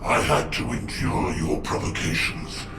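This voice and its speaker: An actor playing a grave, ruthless game villain, speaking in solemn, grandiose pronouncements with a menacing, breathy rasp. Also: male voice, deep voice, animated, measured pace, noisy background, heavily acted. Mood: bitter, menacing. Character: grave, ruthless villain avenging his slaughtered people. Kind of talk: dramatic video game cutscene acting. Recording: echoing, distant, over scary music.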